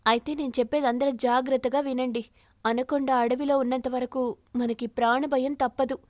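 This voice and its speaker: A woman's voice: high-pitched, flat delivery, moderate pace, a little mellow, dry recording, natural voice. A woman voicing a firm, sensible sparrow who leads a bird flock in a cartoon, earnest and instructing. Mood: resolute.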